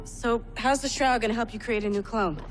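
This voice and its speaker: A woman's voice: fairly high pitch, steady tone, fairly quick, natural voice. A woman playing a wary subordinate in a cinematic video game, in an echoing space. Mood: probing. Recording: long hall reverb, slight noise.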